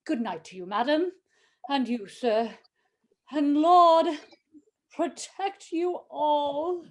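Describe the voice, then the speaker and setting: A woman's voice: high-pitched, slow pace. A woman playing a superstitious, deferential housekeeper of a haunted manor in a radio play, with an ominous, formal way of speaking. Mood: foreboding. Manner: ominous, dramatic, formal servant address.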